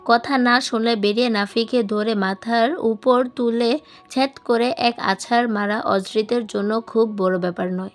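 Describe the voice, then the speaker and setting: A woman narrates a novel in audiobook style. Her voice sits on the high side. Music plays behind her reading. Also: steady tone, unhurried. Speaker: storyteller reading a novel aloud. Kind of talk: scripted audiobook narration with acted dialogue.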